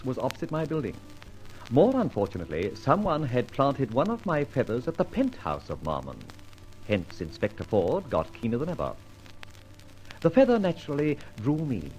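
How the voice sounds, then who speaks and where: An actor playing a dashing, flippant gentleman adventurer in an old-time radio detective drama, with a theatrical, wry and wordy manner. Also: medium pitch, poor audio. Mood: wry narration.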